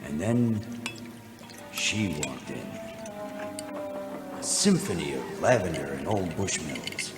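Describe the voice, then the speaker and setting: A man playing a wry, hard-drinking private eye, narrating deadpan in the style of a 1940s radio detective parody. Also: rumbling low, takes it slowly, pauses often, heavily acted. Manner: deadpan, wisecracking noir narration. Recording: roomy sound, music underneath.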